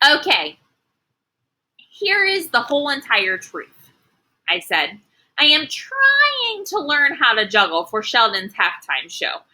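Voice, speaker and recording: A woman's voice: sits high, wildly swooping pitch, slow, pushed and bright, voice pushed up, heavily acted, poor audio. A woman voicing an earnest, anxious first-grade girl telling her own storybook tale in an emphatic, childlike way. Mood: nervous, pleading. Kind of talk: children's storybook read-aloud.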